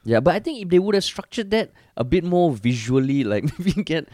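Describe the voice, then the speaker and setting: A man's voice: on the high side, big pitch swings, a little fast, a little mellow, no room sound, clean studio sound. A man with a Singaporean accent co-hosting a podcast, chatting informally and swearing casually. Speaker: podcast co-host. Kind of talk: casual conversational political chat.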